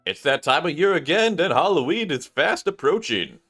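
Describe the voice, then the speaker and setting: Animated, bright-voiced man streaming a game. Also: on the high side, moderate pace. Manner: animated, thinking aloud. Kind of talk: casual gameplay commentary, reading game text aloud. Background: game music.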